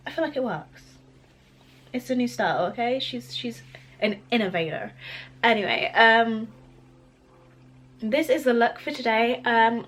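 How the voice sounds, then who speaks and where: Chatty woman, slightly croaky. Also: on the high side, slight background noise. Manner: chatty and rambling, laughs often. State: croaky from a cold. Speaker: beauty vlogger. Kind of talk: casual makeup tutorial chat.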